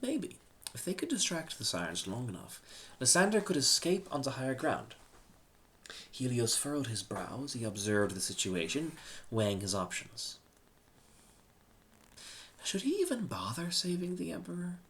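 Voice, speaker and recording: A man's voice: medium pitch, sing-song, normal speed, pauses often, fairly bright tone, a little hiss or hum. A man reading a humorous short story aloud in a dramatic scripted narration. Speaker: story reader.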